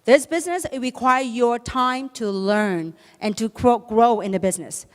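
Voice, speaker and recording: Woman, high-pitched, native Chinese speaker, motivational seminar speech, rhetorical questions, large echoing hall. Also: normal speed. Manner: rhetorical questions to the audience, emphatic. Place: large hall with a live audience. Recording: long reverb of a large hall.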